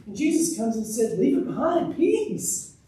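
Pastor, man in his thirties, earnest pulpit sermon, dark mellow tone, distant mic in a reverberant sanctuary with faint ambience. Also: very high-pitched, sing-song, measured pace. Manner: earnest, emphatic preaching with open gestures. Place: church sanctuary, speaking from a pulpit. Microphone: room mic, far from speaker. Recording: echoey distant church recording.

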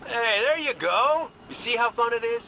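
An actor playing a cocky, restless, wisecracking gunslinger in a video game, with a jokey, folksy manner. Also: male voice, very high-pitched, expressive, normal speed, pushed and bright, heavily acted. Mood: upbeat. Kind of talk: scripted game dialogue. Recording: noisy game action behind.

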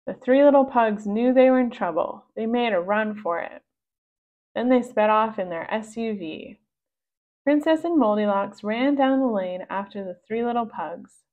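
A woman reading a children's storybook aloud in a measured narrating style for young listeners. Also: on the high side, expressive. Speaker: storybook reader. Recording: dull, lo-fi sound.

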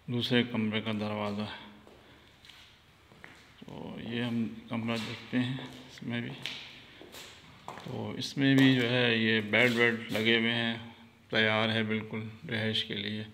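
A man walking through a farmhouse with his phone camera, pointing things out in a relaxed, plain way. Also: lowish voice, a little hiss or hum. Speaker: vlogger giving a property tour. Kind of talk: casual walkthrough narration. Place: outdoors and indoors at a farmhouse. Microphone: phone camera held by the speaker.

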